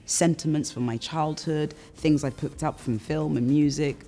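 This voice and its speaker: A woman stylist and judge speaking calmly and thoughtfully to camera. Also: sits low, normal speed. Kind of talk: promo interview to camera.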